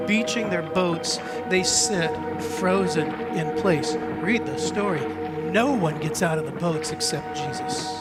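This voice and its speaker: A male preacher giving a theatrical, suspenseful dramatic reading as sermon storytelling, with deliberate pauses. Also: a little high. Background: music and sound effects.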